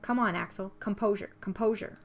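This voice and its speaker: A woman's voice: mid-range voice, fairly quick, creaky, soft background music, natural voice. A woman voicing a meek, wary but sincere boy, the hero of a visual novel read aloud. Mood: curious, sincere. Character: meek, wary, good-natured boy lost in forest.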